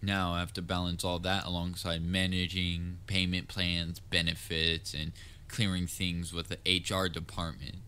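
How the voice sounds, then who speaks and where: Man acting a deep-voiced, pompous supervillain. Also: pushed and bright. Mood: wry, chatty. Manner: pompous, gloating, dryly sarcastic. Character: theatrical male supervillain, pompous yet secretly concerned nemesis. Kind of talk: scripted audio roleplay, comedic villain monologue.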